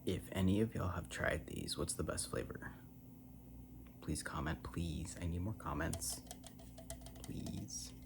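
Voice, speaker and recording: An American ASMR creator speaks very softly in a breathy, whispered voice with a gravelly fry. The delivery is unhurried and rambling, casual and a little self-deprecating, recorded close to the mic. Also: sits low. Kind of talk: soft-spoken ASMR rambling. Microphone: close ASMR microphone. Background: tapping and scratching on cans.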